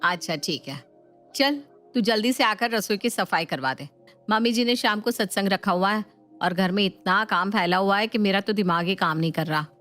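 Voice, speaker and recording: A woman's voice: medium pitch, moderate pace, fairly bright tone, lightly acted. A woman voicing a bossy, overworked elder sister-in-law who scolds in colloquial speech in a family storybook. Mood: stern, hurried. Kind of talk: dramatised Hindi family storybook. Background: music.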